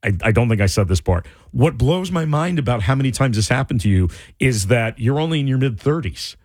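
A man co-hosting a radio call-in show, emphatic and animated, his voice starting to go. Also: medium pitch, a little fast, dry recording. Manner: animated, emphatic, talks through restarts. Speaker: radio co-host. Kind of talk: lively radio call-in chat. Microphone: studio microphone.

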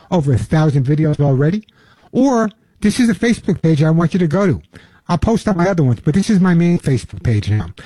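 An older man delivers a pet radio show monologue in a husky, slightly high voice. He talks emphatically and folksily, driving his points home by repeating them. The sound is close and dry, from a studio mic. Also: warm tone, no echo. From Long Island, New York.

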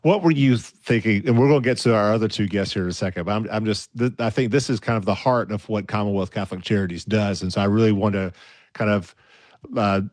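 A low-voiced man hosts an interview show, prompting his guest with encouraging questions. He stumbles into a few restarts along the way. His voice is recorded dry and close, with no room sound. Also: fast, no echo. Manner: warm, encouraging, with hesitant restarts. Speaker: radio show host.

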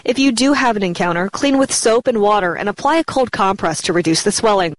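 A woman narrating a short scripted health segment in an even, informative delivery. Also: mid-range voice, fairly quick, no room sound.

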